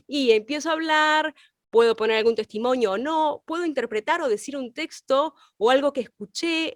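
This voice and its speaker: High-voiced woman teaching informally. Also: no room sound, clean studio sound. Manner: explanatory, illustrates with examples and rhetorical questions. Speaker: radio workshop teacher. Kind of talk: informal open-class explanation.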